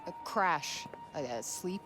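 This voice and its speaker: An actress playing a sarcastic, reluctant young heroine in a video game, with a casual, offhand delivery. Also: female voice, deep voice, animated, slow. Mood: flustered. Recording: game music behind the voice.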